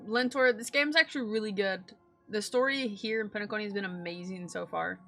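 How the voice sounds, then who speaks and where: A woman's voice: medium pitch, a little fast, fairly bright tone. A woman chatting casually and bluntly as she reacts to a video game, with slang and swearing. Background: game music and dialogue.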